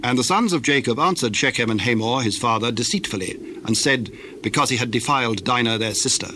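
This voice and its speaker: A male narrator reads scripture formally, in a measured storytelling way. His voice sits at a middle pitch, with faint noise and ambience behind it. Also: pushed and bright.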